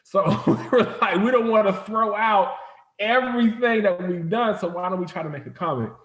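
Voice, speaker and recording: A man's voice: high-pitched, moderate pace, echoey room. A man talking informally as an interview guest, telling stories in a rambling, conversational way. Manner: informal, rambling storytelling with frequent "like". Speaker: interview guest, comics company founder. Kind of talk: casual interview chat.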